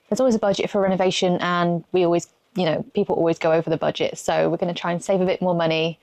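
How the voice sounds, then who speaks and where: A woman's voice: lowish voice, a little mellow, dry recording. A young woman, casually reading out viewer questions and answering in an easygoing way. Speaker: vlogger. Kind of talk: casual Q&A chat.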